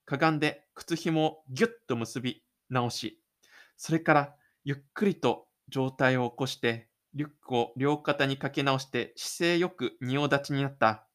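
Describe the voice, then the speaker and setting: A male narrator tells a scripted story for a homemade audio drama. His pitch is mid-range, and he delivers each action step by step as a dramatic storyteller. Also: normal speed, a bit bright. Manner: dramatic storytelling with spoken sound effects.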